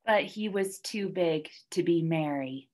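A young woman reading a children's story aloud like a teacher, deliberately and with dramatic emphasis. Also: on the low side, a little mellow. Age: young adult. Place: small classroom, indoors, by a whiteboard.

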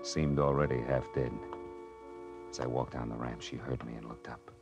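A very low-voiced American gumshoe from a 1940s radio thriller. He is hard-boiled and wry, world-weary but decent. Music runs clearly behind him on the old recording. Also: fairly even intonation, moderate pace. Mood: wry, tense narration. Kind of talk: 1940s radio drama acting with first-person noir narration.

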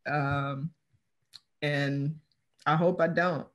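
A woman's voice: sits low, fairly even intonation, extremely slow. A middle-aged woman from Texas, a guest author, chatting in an easygoing way and laughing often, heard over a webcam from a room at home. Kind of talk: casual interview chat. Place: home room with framed pictures on the wall. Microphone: video call webcam.

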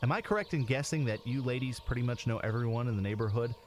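An actor playing a calm, devout, courteous agent in an audio drama, asking questions politely and evenly. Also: male voice, mid-range voice, fast, a little hiss or hum, lightly acted.

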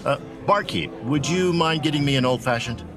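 A man's voice: a little high, moderate pace, loud music behind the voice, natural voice. A man playing a confident, courteous 1920s bootlegger in an audio drama. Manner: courteous, assured. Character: smooth-talking, confident 1920s male bootlegger.

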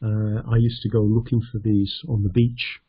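A middle-aged man from North Yorkshire, teaching an online lesson over slides in a halting, conversational way with a self-deprecating humour. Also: fairly low pitch, flat delivery, husky, no echo, muffled recording. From North Yorkshire, England. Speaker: English teacher.

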